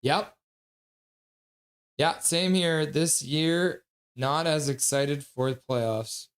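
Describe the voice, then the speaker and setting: A man's voice: fairly high pitch, steady tone. Man calling hockey play-by-play live. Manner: reads chat messages aloud and chats back; quick, offhand running description of the action. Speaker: livestream host. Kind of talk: casual live play-by-play commentary.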